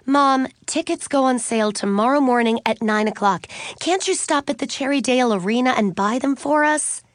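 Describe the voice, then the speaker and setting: A sweet, sincere young schoolgirl, voiced by a woman from a children's audiobook. She speaks earnestly in a high voice with lots of melody, recorded dry and close. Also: sing-song, normal speed, no room sound. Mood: pleading.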